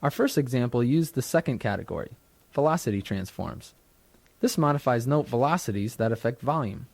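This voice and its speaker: A man's voice: mid-range voice, expressive, fairly quick, vocal fry, darkish tone, dry recording. Dry-sounding man narrating a tutorial. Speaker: software tutorial instructor. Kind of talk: scripted instructional narration. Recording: dry studio narration from a 1990s tutorial cassette.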